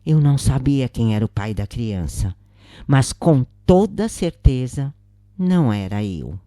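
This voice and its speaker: A woman's voice: rumbling low, sing-song, a little slow, dark tone, no room sound, clean studio sound. A woman with a Brazilian accent reading a scripted first-person spiritual account as a narrator.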